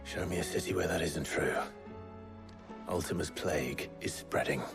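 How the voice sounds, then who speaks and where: An actor playing a gruff, resolute, guarded fantasy hero in a video game cutscene, terse and measured. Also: male voice, low-pitched, music in the background. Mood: grave, subdued.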